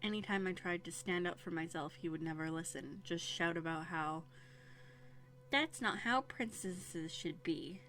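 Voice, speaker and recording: A woman playing a young runaway princess in a roleplay audio drama, bookish and quietly bitter, talking earnestly and hesitantly into a close microphone. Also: lowish voice, measured pace. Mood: bitter, wounded. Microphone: close USB condenser microphone.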